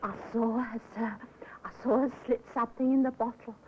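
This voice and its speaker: A woman's voice: fairly high pitch, steady tone, a little fast, natural voice. A woman acting a timid, deferential young Victorian housemaid in a 1950s radio drama, earnest and hesitant. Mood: frightened, earnest. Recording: muffled, echoing old radio recording, noisy.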